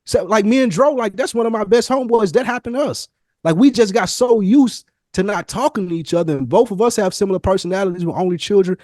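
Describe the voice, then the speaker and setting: A man's voice: high-pitched, fast talker, darkish tone, no echo, clean studio sound. An American man talking candidly in a casual podcast conversation, using informal slang and emphatic phrasing. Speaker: podcast host.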